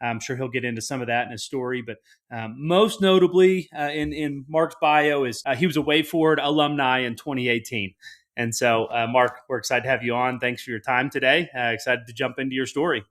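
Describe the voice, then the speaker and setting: A man's voice: medium pitch, a little fast, fairly bright tone. Male podcast host, mid-range voice, chatting casually and jovially with a guest, happy to poke fun at himself. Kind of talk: casual conversational interview.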